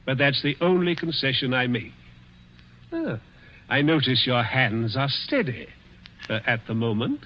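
A man's voice: mid-range voice, lively intonation, measured pace, pauses often. An actor playing a suave, menacing stepfather with mock-genteel, hesitant politeness in a 1940s radio thriller. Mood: gloating. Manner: mock-genteel, drawling hesitations, sinister chuckles. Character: suave menacing stepfather tormenting his stepson with threats. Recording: muffled, noisy 1940s radio recording.

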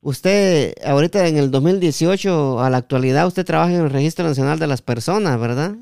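Smooth-voiced Guatemalan man interviewing casually. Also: fairly high pitch, a little fast, darkish tone, dry recording, studio quality. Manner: hesitant, repeats words as he forms questions. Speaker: podcast host interviewing a guest.

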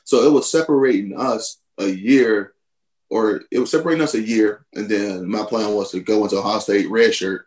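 A man in his thirties, low-pitched and relaxed, recounting old football days in an easygoing, storytelling way. He laughs often, and his voice leaps up high when he acts out what he said back then. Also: normal speed, poor audio. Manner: animated, laughing, jumps high when quoting himself. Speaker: podcast guest, former college football player. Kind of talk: casual storytelling.

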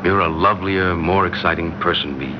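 An actor playing a smitten, smooth, reassuring married man in a secret affair, in old radio-drama style. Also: a little fast, natural voice. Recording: muffled old radio recording, heavy rumbling noise.

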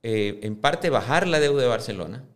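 A man in his fifties answering interview questions on a podcast, speaking in a measured way that often restarts and repeats words as he builds his points. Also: mid-range voice, lively intonation. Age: 50s. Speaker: club presidential candidate.

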